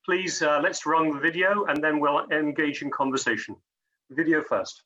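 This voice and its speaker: A man moderating a panel, thanking the speaker and moving briskly to the next segment. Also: on the high side, bright, forceful tone. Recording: dull lo-fi audio.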